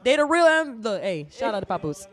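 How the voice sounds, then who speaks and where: A young woman with a Trinidadian accent, co-hosting a casual podcast chat on a studio couch, talking loud, slangy and playful into a handheld mic. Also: on the high side, sing-song, brisk. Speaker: podcast co-host. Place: small indoor studio set on a couch. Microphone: handheld microphone.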